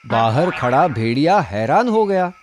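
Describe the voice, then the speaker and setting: A man's voice: on the high side, sing-song, unhurried, slight background noise. A man narrating a scripted children's story.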